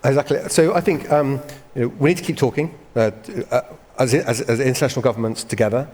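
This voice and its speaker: A man from the United Kingdom, a minister, talks fast in a mid-pitched voice on a panel. He is fluent, with the odd "um" and "uh". The long reverb of a hall surrounds him. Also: darkish tone. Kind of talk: panel discussion.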